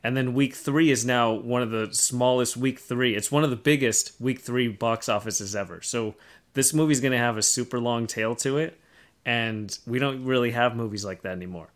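A man co-hosting a podcast, talking casually and analytically, pausing as he works through his thoughts. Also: medium pitch. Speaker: podcast co-host. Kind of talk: casual discussion of film trends.

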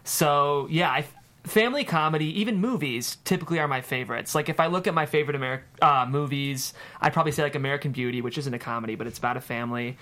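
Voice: mid-range voice, fast talker, a bit bright. Chatty screenwriter in casual discussion. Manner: chatty, hedging with frequent "like". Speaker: guest screenwriter.

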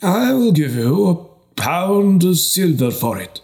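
A greedy, gullible bishop played by a male narrator speaks well above a normal male register. He is breathy and eager, gasping his words out in an audiobook tale. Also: sing-song, slow, darkish tone, reverberant, full character voice. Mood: breathless greed. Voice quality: breathy, higher than the narrator. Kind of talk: audiobook character reading.

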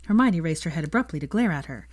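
A woman reading fan fiction aloud as expressive, scripted story narration. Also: low-pitched, quick pace, no room sound, clean studio sound.

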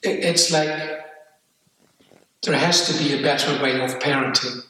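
An older man from the Netherlands with a Dutch accent, speaking candidly and haltingly about his life, repeating words as he goes. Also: a little high, steady tone, pushed and bright, recorded from a distance. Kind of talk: personal reflective testimony. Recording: echoey room, off-mic.

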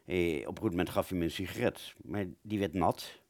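A man playing a wounded British fighter pilot, telling his story in a radio play with a husky voice and halting, stammering delivery. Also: sits low, darkish tone. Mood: weary, remembering. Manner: halting, stammering, repeats words. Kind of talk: first-person dramatic narration in a radio play.

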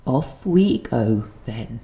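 Very slow, frail grandmother. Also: a bass voice, sing-song, creaky, warm tone, a little hiss or hum, heavily acted. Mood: lucid, wry. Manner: halting, stammering, words broken apart. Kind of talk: audio play acting. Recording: roomy, echoing sound.

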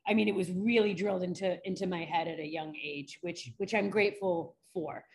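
A woman's voice: lowish voice, fairly even intonation, brisk, vocal fry. A woman from New York, a forensic psychologist chatting candidly in an interview, laughing often. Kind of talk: casual interview chat.

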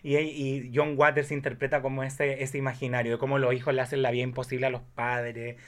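A man's voice: mid-range voice, flat delivery, brisk, a bit bright. A man with a Chilean Spanish accent chatting casually about films in a campy, effusive, exclamatory way. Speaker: podcast guest.

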